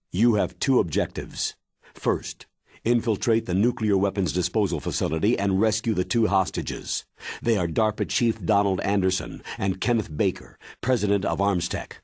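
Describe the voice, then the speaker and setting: An actor playing a stern military commander briefing an agent in a video game, grave and measured in manner. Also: male voice, low voice, a little mellow, no room sound, clean studio sound. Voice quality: husky, weighty. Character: stern, authoritative older military commander giving mission briefing. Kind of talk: dramatic video game cutscene acting.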